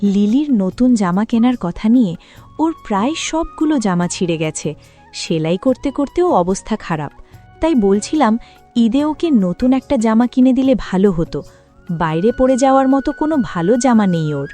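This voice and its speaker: Woman as a swooping, high-voiced fretful cartoon mother. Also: expressive, normal speed, mellow, lightly acted. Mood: resigned. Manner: worried, scolding. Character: careworn, practical, fretful mother of a poor family. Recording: background music under the voice.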